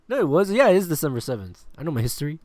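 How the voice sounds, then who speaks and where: A man's voice: a little high, very animated, quick pace, a little mellow. A man hosting a casual sports podcast, rambling and laughing often, with a stuffy nose and a lingering cough. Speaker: podcast host. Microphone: remote call recording.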